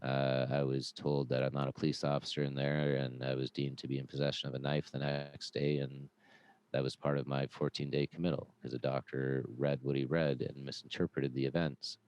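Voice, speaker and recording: Super deep guy telling his story on a podcast, loud and earnest, smooth voice. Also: little pitch movement, brisk, no echo. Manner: earnest, with frequent "uh" and "um". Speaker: podcast guest; former police officer. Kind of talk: conversational personal storytelling.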